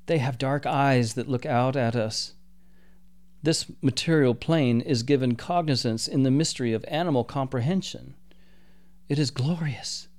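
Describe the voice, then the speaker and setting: A man's voice: medium pitch, darkish tone, lightly acted. A man voicing an awestruck male archangel who speaks with breathless wonder and old-fashioned phrasing.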